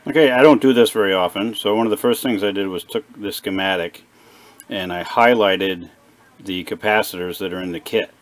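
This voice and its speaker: A man giving a casual, unscripted hands-on repair demonstration in a plain, halting, explanatory way at a workshop bench. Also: fairly low pitch. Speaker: presenter of a radio repair video. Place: small indoor workshop at a workbench.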